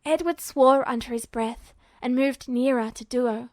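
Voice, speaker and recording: A woman's voice: high-pitched, unhurried, dry recording, clean studio sound. A woman reading a scripted story aloud as narrator.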